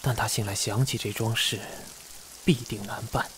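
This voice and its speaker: A man acting an aloof, possessive immortal lord in a fantasy audio drama, cool, measured and sure of himself. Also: fairly low pitch, fairly even intonation, fairly bright tone, a little hiss or hum, close to an everyday voice. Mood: calm, deliberate.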